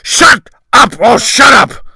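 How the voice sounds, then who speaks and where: A man voicing a red-faced man shouting in furious wrath in a dramatic audiobook. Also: very high voice, slow, gravelly, recorded from a distance, voice pushed up, heavily acted.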